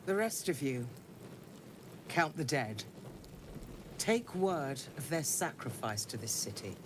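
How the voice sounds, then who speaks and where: An actress playing a commanding, formal city counselor who speaks in an authoritative, measured way. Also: female voice, very deep voice, big pitch swings, background noise, full character voice.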